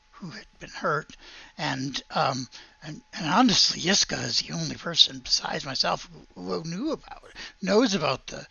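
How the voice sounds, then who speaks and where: A game master playing an anxious, hesitant village priest who stammers and speaks haltingly in a tabletop game. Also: mid-range voice, creaky, pushed and bright, slightly rough, no room sound, muffled recording, heavily acted.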